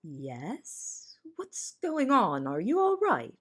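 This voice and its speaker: A woman's voice: mid-range voice, wildly swooping pitch, very slow, husky, full character voice. A woman playing an anxious young cook in an audio drama, narrating and speaking with confessional, dramatic intensity. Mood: worried. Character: anxious young female cook in a big house. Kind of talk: audio drama first-person narration and dialogue.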